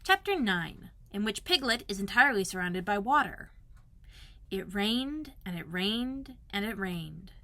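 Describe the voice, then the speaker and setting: A woman reading a children's story aloud as a librarian, expressive, with dramatic emphasis and repetition. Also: medium pitch, measured pace, no room sound.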